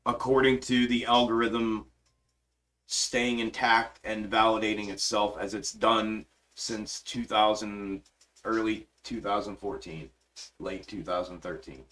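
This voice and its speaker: A man's voice: on the low side, level tone, a little slow, bright, forceful tone, low-quality recording. A man hosting a stock market live stream, talking casually and emphatically over his charts, swearing freely and reading chat aloud, grew up in western Pennsylvania but spent his adult life in the South. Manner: profane, emphatic, restless, trails off while working charts. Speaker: stock market livestream host.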